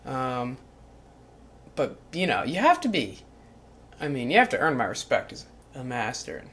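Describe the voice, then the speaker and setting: A grumpy, foul-mouthed cat reading a gentle spiritual book aloud, as a performer puts it on, the tone bright and sharp-edged at an ordinary middle pitch. It reads in a calm, measured way, then flares into yelled, swearing rants. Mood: dreamy mumbling.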